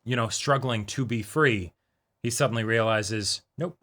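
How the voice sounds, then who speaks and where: A man's voice: mid-range voice, measured pace, fairly bright tone. A man co-hosting a reaction show, chatting analytically in a wordy, explanatory way and often checking for agreement. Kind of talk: casual analytical chat.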